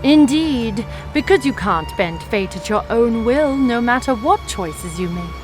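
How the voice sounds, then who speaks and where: A woman's voice: fairly high pitch, lively intonation, a little slow, over music. A woman playing a smug, merciless female villain in an audio drama, pronouncing things in a haughty, taunting way. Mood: smug philosophizing.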